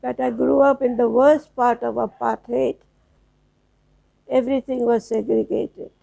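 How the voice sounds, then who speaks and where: A woman in her nineties from South Africa, reminiscing slowly as an interview guest, with halting restarts. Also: a little high, flat delivery, mellow, rough recording. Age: 90s. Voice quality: gravelly, husky. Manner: slow, halting storytelling with restarts.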